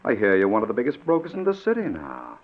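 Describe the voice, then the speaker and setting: An actor in an old radio suspense play playing a bitter, vengeful ex-convict, speaking with sardonic, deliberate menace. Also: male voice, fairly low pitch, huge pitch swings, husky, exaggerated voice. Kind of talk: 1940s radio suspense acting. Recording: muffled old radio recording.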